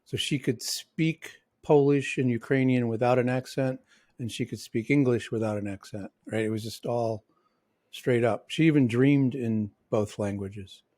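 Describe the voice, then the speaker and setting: A man's voice: mid-range voice, fairly even intonation, normal speed, darkish tone, no room sound. A man with a mixed Midwestern and New York accent hosting a casual podcast interview, rambling with lots of restarts and fillers. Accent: Midwestern and New York American. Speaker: podcast host.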